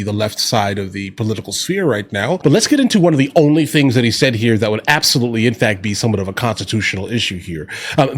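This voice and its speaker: A man giving casual political commentary as a reaction host, conversational and opinionated, with slangy asides to his viewers. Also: on the low side.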